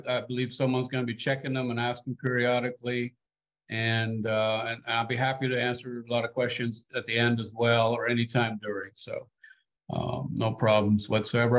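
A man in his sixties, born in Sweden, giving a relaxed, joking talk over a video call from a home office, with many fillers and restarts. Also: mid-range voice, poor audio. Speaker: guest speaker.

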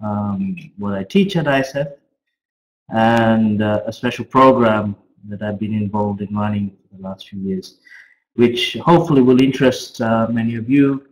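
A middle-aged man gives an informal academic presentation as a finance professor, speaking haltingly with frequent pauses. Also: fairly low pitch. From Australia. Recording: roomy, echoey webcam audio.

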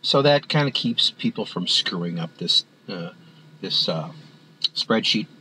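A man walking through a spreadsheet on screen as an instructor, talking in a halting way with many fillers and restarts. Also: low-pitched, animated, bright, forceful tone, slight background noise, poor audio. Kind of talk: screen-recorded software walkthrough.

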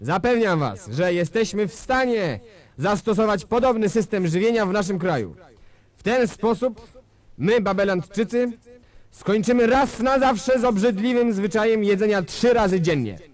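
Bombastic male dictator, high-pitched, pompous orator addressing his nation in a stage drama. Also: animated, moderate pace. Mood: fervent, bombastic. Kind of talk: theatrical political speech.

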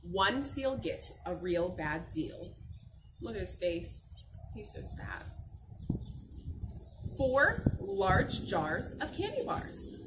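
A young adult woman reading a picture book to children in an expressive, encouraging, sing-song way, pausing to ask questions. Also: mid-range voice. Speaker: teacher reading aloud to children. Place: outdoors on a garden patio. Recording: noisy outdoor recording with birdsong.

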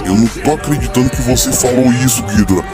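A man voicing a proud, boastful monster king who taunts his rival in a fan-made animated reaction video. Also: mid-range voice, fast talker, over loud music, natural voice. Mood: indignant, threatening. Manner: taunting, indignant, addresses rival directly.

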